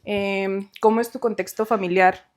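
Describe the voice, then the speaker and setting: A woman hosting a podcast interview, excitable and quick with short encouraging replies. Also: mid-range voice. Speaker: podcast host.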